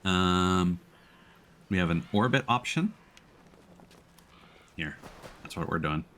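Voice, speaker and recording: A man's voice: low voice, animated, takes it slowly, fairly bright tone, a little hiss or hum. A man streaming a video game, giving casual live commentary as he plays.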